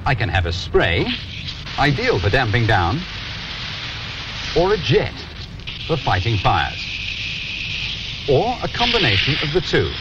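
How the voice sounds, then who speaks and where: A British man in his fifties presenting a scripted instructional training film in a measured, instructive manner. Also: medium pitch, wildly swooping pitch. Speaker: presenter and narrator of a fire safety training film. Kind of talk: scripted instructional narration to viewer. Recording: muffled, noisy 1980s 16mm film soundtrack.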